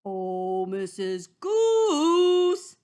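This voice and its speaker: A lonely storybook wolf speaks in a loud, earnest voice, as a woman reading aloud plays him. He sounds overly polite and eager to be liked. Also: high-pitched, sing-song, very slow, pitched above the performer's own voice, exaggerated voice. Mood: sweetly pleading. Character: lonely male wolf trying hard to be good.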